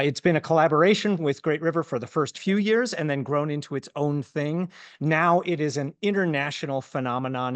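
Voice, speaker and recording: A man with a slightly high voice speaks as the host of an arts event. He addresses a gathering in a formal public-speaking way. The sound is dry, with little echo. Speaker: host, festival artistic director. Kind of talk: welcome speech at a poetry awards event.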